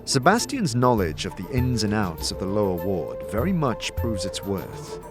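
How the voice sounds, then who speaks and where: A man narrating a fantasy audio drama as game master, in deliberate, suspenseful scripted storytelling. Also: lowish voice, wildly swooping pitch, over music.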